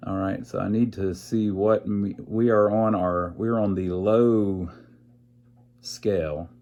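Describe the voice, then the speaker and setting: A man's voice: lowish voice, fairly even intonation, slow, a little mellow, lo-fi sound. A man casually thinking aloud as he narrates a hands-on repair, with a folksy, wry sense of humor. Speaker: amateur radio repairer and video host.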